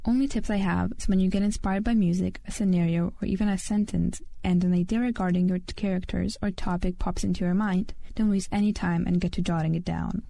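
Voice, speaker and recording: A teenage girl hosts her own podcast in English, her second language, with a Lithuanian accent. Her voice is soft and mellow, with a dark tone. She reads a prepared script in an earnest way. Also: fairly low pitch, creaky. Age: teens. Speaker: podcast host. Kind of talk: scripted solo podcast monologue.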